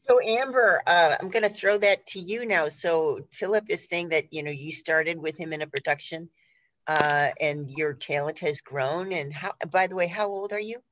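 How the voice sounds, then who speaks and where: A woman's voice: fairly low pitch, normal speed, fairly bright tone. Woman interviewer on dull lo-fi audio. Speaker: host interviewing a theater cast. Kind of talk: conversational interview.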